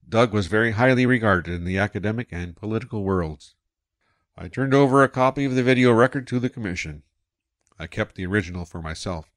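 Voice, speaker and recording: An actor playing a male spaceship captain who narrates his voyage in the first person, quiet and reflective. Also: on the low side, no echo, studio quality, lightly acted. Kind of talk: scripted audio drama narration.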